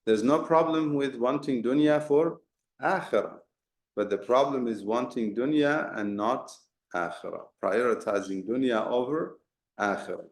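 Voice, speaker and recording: Male Quran teacher, mid-pitched, earnest explainer, lots of rhetorical questions, slight fry, roomy echo. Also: unhurried. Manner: mixes Arabic terms into talk. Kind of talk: explanatory religious lesson. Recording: echoey room.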